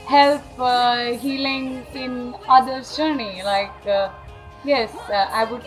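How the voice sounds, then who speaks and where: Halting woman with faint music behind. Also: high voice, a bit bright, low-quality recording. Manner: halting, searching for words. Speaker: art healing practitioner. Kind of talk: casual video diary.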